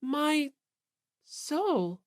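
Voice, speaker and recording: A woman's voice: high voice, sing-song, drawn-out words, no room sound, studio quality, voice pushed up, heavily acted. A woman voicing a sweet, earnest, delicate teenage boy in an audiobook. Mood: awed, moved. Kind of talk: audiobook character acting.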